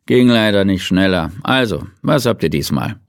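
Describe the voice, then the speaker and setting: A man's voice: deep voice, animated, moderate pace, gravelly, no room sound, clean studio sound. A man doing a weary, musing forensic doctor. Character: weary, laid-back male forensic pathologist.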